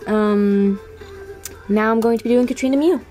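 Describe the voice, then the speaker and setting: High mellow female voice, self-deprecating chat. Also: moderate pace, soft background music. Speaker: amateur voice auditioner. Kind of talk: casual chat to online viewers.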